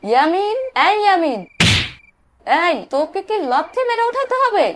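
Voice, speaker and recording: A woman's voice: very high voice, sing-song, normal speed, heavy background noise. A woman voicing a mother in a cartoon who scolds and teases her son. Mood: scolding. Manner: scolding, teasing. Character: scolding, teasing mother of a boy. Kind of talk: cartoon voice acting. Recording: with loud music behind.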